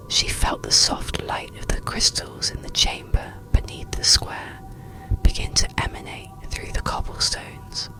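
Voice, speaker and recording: A narrator reads a soothing ASMR bedtime story in a hushed, breathy, unvoiced whisper with a gentle manner. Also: rough recording.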